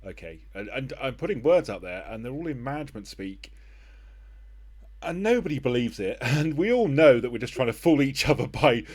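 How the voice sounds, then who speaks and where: A man's voice: medium pitch, sing-song, normal speed, a little mellow, slightly rough. A middle-aged man hosting a casual interview, speaking in a wry, hesitant way with the odd sigh. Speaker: interview host.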